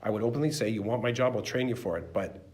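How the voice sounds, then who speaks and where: A male podcast host telling a story casually, animated, with many restarts. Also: mid-range voice, fast talker, background noise. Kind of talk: casual conversational podcast talk.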